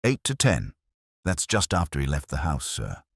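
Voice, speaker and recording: A man voicing a keen, deferential police sergeant reporting briskly to a superior in a mystery story. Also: rumbling low, wildly swooping pitch, creaky, breathy edge, no room sound, studio quality, exaggerated voice. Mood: uneasy, eager. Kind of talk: mystery audiobook character reading.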